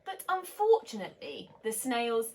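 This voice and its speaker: A woman teacher reading a picture book aloud to children, animated and expressive with soft whispered asides. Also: a little high, moderate pace. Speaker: teacher reading a bedtime story.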